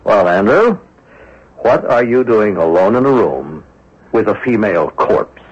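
An actor playing a suspicious, stuffy police inspector in a 1970s radio drama, accusing and official. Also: male voice, fairly low pitch, sing-song, measured pace, breathy edge, heavily acted. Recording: dull lo-fi radio broadcast recording.

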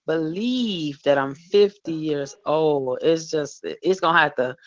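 A woman's voice: sits low, takes it slowly. A woman of fifty co-hosting an open mic show, talking casually with exuberant, churchy enthusiasm. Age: 50s. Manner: exuberant, churchy, talks to the audience as y'all. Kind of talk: casual chat. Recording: dull lo-fi online radio audio.

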